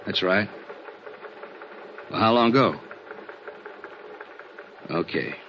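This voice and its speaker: Deep, gruff police lieutenant. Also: male voice, slow pace. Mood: businesslike. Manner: plain-spoken, businesslike. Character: gruff, matter-of-fact male police lieutenant. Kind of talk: 1950s radio drama acting. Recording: muffled, noisy 1950s radio recording.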